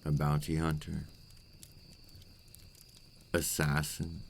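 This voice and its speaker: A man's voice: a bass voice, monotone, slow, gravelly, a little hiss or hum. A man playing a possessive, remorseful vampire captor in an intimate ASMR roleplay monologue, speaking in formal, old-fashioned phrases. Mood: probing, calm.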